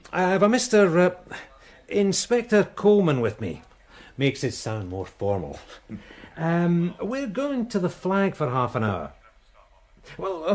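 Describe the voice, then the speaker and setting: Male detective sergeant: wry, weary, genial, fairly high voice with lively swings, radio drama. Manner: wry, animated, hesitant asides.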